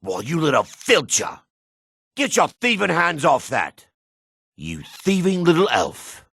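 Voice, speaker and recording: A gruff dark elf for a fantasy game, his voice raspy and forceful. He is suspicious and curt, with a sneering edge and pitch that swings widely from line to line. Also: wildly swooping pitch, takes it slowly, no echo, clean studio sound, exaggerated voice. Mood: angry, accusing. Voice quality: gravelly, raspy. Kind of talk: video game character barks.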